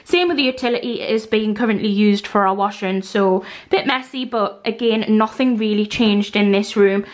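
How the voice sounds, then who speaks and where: A woman's voice: medium pitch, moderate pace, few pauses, no room sound. A young woman from Newcastle with a Geordie accent, giving a casual, chatty house tour as a vlogger. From Newcastle, North East England. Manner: chatty and informal. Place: walking through a house and garden. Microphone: handheld camera, held by speaker.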